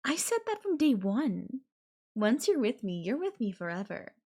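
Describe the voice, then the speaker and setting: A woman playing an obsessive, possessive girlfriend in an intimate roleplay audio drama, sweet but menacing, with breathy cooing. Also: on the high side, big pitch swings, normal speed, dark tone, exaggerated voice. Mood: sweet, clingy. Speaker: voice actor.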